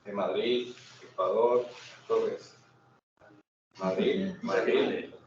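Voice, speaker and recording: A man's voice: fairly low pitch, fairly even intonation, extremely slow. Colombian guy giving an informal seminar talk, way far from the mic, super echoey. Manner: conversational, checks in often with short tag questions. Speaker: seminar speaker. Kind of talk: informal presentation with questions to the audience. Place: indoor seminar room. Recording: very echoey room, distant muffled mic, faint noise.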